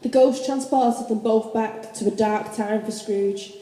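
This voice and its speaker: A woman's voice: on the high side, steady tone, a little slow, dark tone. A woman reading scripted narration for a radio play. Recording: echoey hall with faint noise.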